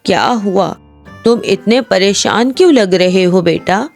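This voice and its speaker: Woman doing a slow-talking kindly old man. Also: medium pitch, creaky, a little mellow, soft background music, pitched below the performer's own voice. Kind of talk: cartoon storybook acting.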